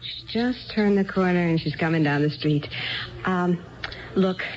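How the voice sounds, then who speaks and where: A woman's voice: on the low side, measured pace, slight background noise, close to an everyday voice. An actress playing a polite, frank woman bar patron in a 1970s radio drama, casual and a little hesitant. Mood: chatty, frank. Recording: dull, band-limited old radio broadcast.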